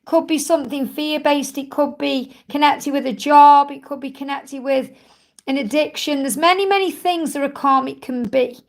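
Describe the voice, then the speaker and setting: Croaky high-voiced woman reading cards. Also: steady tone, normal speed. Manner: soothing and reassuring, repeats phrases often. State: croaky, tired voice from much talking. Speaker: tarot card reader and live-stream host. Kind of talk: live-stream Q&A card reading.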